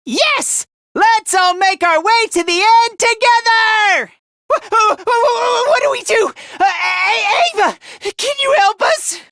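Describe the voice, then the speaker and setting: A man's voice: very high voice, sing-song, a little slow, bright, forceful tone, exaggerated voice. A man voicing a timid, anxious, self-loathing young male student in an anime video game, with a thin voice and a stammering, whiny delivery.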